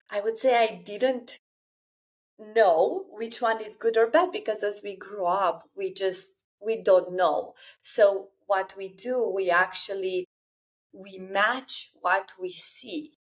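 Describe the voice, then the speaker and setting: Romanian woman in her 30s, solo podcast host, confiding and earnest, mid-pitched voice. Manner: earnest, confiding, speaking directly to listeners. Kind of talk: solo personal podcast monologue.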